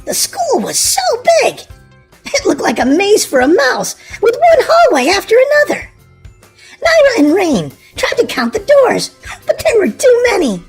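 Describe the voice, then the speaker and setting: A woman reading a children's storybook aloud in an expressive, animated way. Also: very high voice, a little slow, faint music behind. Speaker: storybook narrator.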